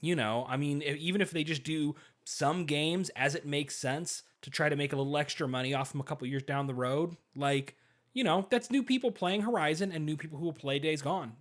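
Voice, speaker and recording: A man's voice: on the high side, a little fast. A man talking casually through gaming news as a panelist on a talk show.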